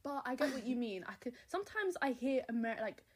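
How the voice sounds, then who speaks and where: A woman's voice: sits high, expressive, a little fast, husky. A young woman from England co-hosting a podcast, chatting casually, joking and laughing often. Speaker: podcast co-host. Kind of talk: casual chat.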